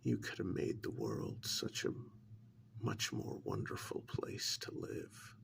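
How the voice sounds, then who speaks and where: Guy doing a sneaky needling interrogator, asmr roleplay, lowish whispery voice, pitch swoops around, long dramatic pauses. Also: exaggerated voice. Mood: mock reproach. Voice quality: breathy whisper with gravelly creak. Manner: slow, deliberate, mock-admiring, dramatic pauses. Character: sly, insinuating male interrogator needling a mad scientist. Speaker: ASMR role-play performer. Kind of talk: soft-spoken whispered ASMR role-play.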